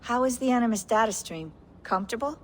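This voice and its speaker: An actress playing a brisk, practical and considerate tech operator in a naturalistic video game scene. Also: female voice, on the high side, expressive, lightly acted. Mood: practical, attentive. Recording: long reverb, faint background noise.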